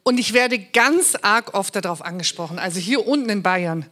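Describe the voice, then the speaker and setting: A woman's voice: mid-range voice, expressive, moderate pace, fairly bright tone. A woman from Bavaria, raised in Württemberg, giving a chatty, joking presentation. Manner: chatty, joking, with fillers and restarts. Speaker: charity regional manager giving a talk. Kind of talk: casual presentation talk.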